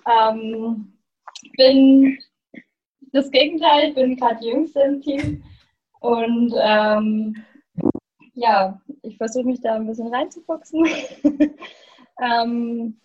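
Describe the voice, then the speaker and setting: A woman's voice: fairly high pitch, drawn-out words, pauses often, a little mellow, highs cut off, slight background noise. A nineteen-year-old woman from the Erzgebirge, introducing herself hesitantly as a podcast guest. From Erzgebirge, Germany. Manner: hesitant, many fillers. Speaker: ski jumper, podcast guest. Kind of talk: casual self-introduction.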